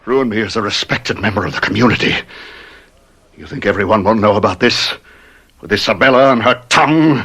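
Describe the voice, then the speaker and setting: An actor playing a proud, jealous husband in a radio drama, melodramatic and anguished. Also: male voice, fairly low pitch, a little fast, pushed and bright, breathy edge, heavily acted. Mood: wounded rage.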